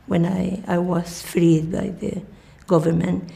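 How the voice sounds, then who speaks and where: A woman's voice: lowish voice, little pitch movement, slow, creaky, mellow. An older woman, giving personal testimony in a documentary interview. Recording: guitar music behind the voice.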